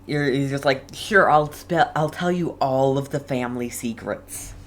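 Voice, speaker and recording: A man's voice: mid-range voice, a little slow. A man playing a game as a streamer, commenting casually and reading dialogue aloud.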